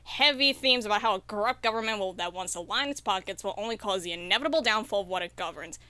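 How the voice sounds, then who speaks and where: A woman's voice: medium pitch, lively intonation, fast talker, pushed and bright, clean tone, no echo. A woman speaking as a podcast guest and indie developer, chatting casually in an enthusiastic, earnest way. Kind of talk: casual interview chat.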